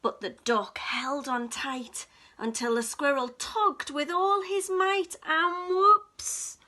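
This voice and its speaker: A woman reading a picture book aloud to young children in an expressive, dramatic storytelling manner. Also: high-pitched, slow pace. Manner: dramatic, with hushed whispers and gasps.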